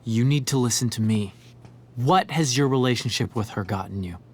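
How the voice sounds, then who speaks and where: A man's voice: mid-range voice, moderate pace, lightly acted. A man playing an anxious, accusing teenage boy in a dramatic video game, pressing his points with pleading emphasis. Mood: accusing, insistent.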